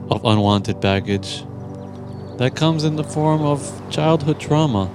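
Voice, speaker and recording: Male meditation guide: unhurried, gentle and deliberate, leaving long pauses, set over prominent music. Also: medium pitch.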